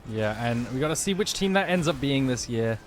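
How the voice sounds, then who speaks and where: A man's voice: medium pitch, lively intonation, a little fast, slight background noise. A man casting a live esports match, giving breezy play-by-play and analysis. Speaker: esports caster.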